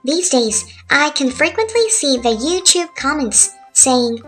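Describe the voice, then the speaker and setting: A high-pitched woman in her thirties with a Korean accent talks through a relaxed, scripted lesson. She speaks in an easy, chatty, laid-back way. Soft music plays quietly behind her. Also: measured pace. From South Korea. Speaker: language tutor. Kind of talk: relaxed scripted narration over drawings. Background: faint music.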